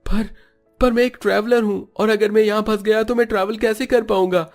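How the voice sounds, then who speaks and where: Man doing a young male cartoon traveler, raised pitch, anxious, earnest, plaintive, faint music. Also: steady tone, a little fast. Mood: anxious protest. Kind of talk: Hindi cartoon story voice acting.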